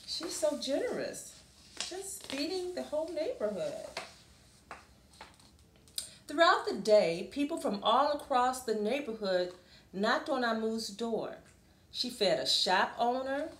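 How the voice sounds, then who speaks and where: A woman with a slightly high voice reads a children's storybook aloud. She takes her time, speaking very deliberately and expressively as if to young listeners. The sound is roomy and echoing. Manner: deliberate, explains and asks listeners questions. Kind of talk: expressive picture-book read-aloud with asides to children.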